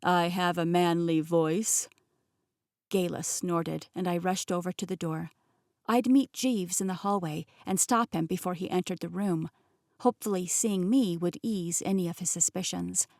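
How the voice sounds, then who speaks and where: A young adult woman narrating a first-person novel in a clear, expressive storytelling manner, recorded on a close studio mic. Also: on the low side, normal speed, dry recording. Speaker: audiobook narrator.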